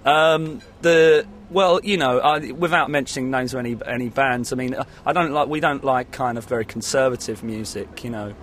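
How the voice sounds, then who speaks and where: A man's voice: mid-range voice, fairly even intonation, normal speed, few pauses, a bit bright. A man giving a casual interview as a musician, rambling and hesitant, often saying "you know". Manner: rambling, hesitant, peppered with 'you know'.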